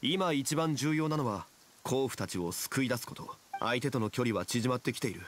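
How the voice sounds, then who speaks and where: A man playing a calm, dignified scholar of ancient gravity in an anime game, speaking in a composed, measured, formal way. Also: medium pitch, a little hiss or hum, natural voice. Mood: calm, explanatory. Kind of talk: anime video game voice acting.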